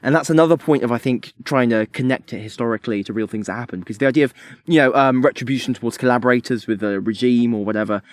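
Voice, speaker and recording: A man co-hosting a podcast, giving casual critical commentary in a hesitant, halting way. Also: mid-range voice, no room sound. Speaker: podcast co-host.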